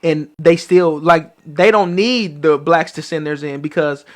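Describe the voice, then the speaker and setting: A man's voice: fairly high pitch, steady tone, unhurried. An American man in a casual, unfiltered podcast chat, making his points in an emphatic, argumentative, slangy way. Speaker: podcast co-host.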